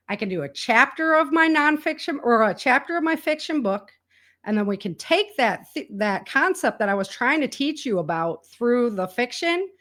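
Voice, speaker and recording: A woman speaking as an enthusiastic, chatty interview guest, in a casual conversational style. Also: medium pitch. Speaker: interview guest, indie author and podcaster.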